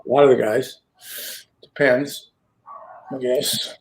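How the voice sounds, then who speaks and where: A man's voice: medium pitch, fairly even intonation, slow pace, low-quality recording. A man, a hockey broadcaster, chatting casually and rambling with plenty of fillers on a video call. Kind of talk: casual chat.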